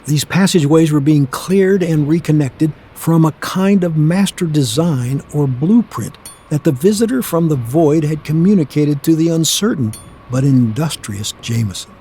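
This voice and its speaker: A man narrating a scripted radio play in a measured storytelling manner. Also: fairly high pitch, animated, dark tone, breathy edge.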